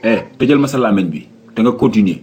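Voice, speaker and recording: A man's voice: lowish voice, animated, fast, over music, natural voice. An actor playing a stern, curt policeman in a comedy cartoon, with a Senegalese accent. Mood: stern, threatening. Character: stern, no-nonsense policeman questioning and ordering people around.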